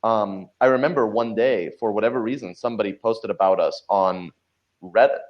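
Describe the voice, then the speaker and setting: Man, fairly low voice, casual interview guest, conversational storytelling, elaborates as he goes. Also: moderate pace, muffled recording. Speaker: podcast creator, interview guest.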